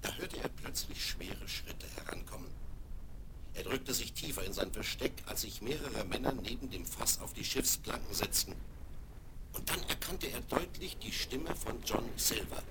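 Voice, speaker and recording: A man narrating a children's radio play in a calm, hushed way. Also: mid-range voice, moderate pace, heavy background noise. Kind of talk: storybook narration. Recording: narration over music on old vinyl.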